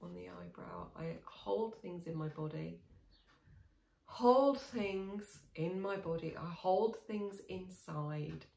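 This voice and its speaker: A woman in her forties guiding a tapping session, speaking slow, soothing, repeated affirmations with sighs. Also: on the low side, very animated, vocal fry, a little mellow, slight background noise. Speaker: EFT tapping coach. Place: small room indoors. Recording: echoey small room.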